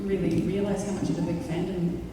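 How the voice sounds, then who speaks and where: A woman's voice: fairly low pitch, little pitch movement, fast talker, background noise. A woman with a New Zealand accent chatting friendly as a guest actor at a convention panel. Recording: echoey hall from far off.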